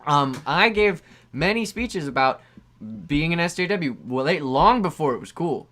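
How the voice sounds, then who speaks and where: Comedy improv: male performer as parody science presenter, tipsy rambler, highish bright voice, halting and repetitive delivery. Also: animated. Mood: rambling, earnest. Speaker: comedy improv performer answering chat questions.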